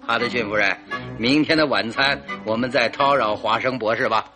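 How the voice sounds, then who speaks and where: An actor playing a composed, confident and teasing detective in a radio drama, with a deliberate, commanding manner and a husky voice. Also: male voice, medium pitch, music in the background, close to an everyday voice. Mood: amused, teasing.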